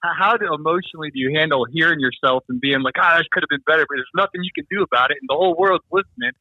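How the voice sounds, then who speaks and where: A man who is a guest on a podcast, chatting casually in a quick, energetic way. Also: a little high, highs cut off.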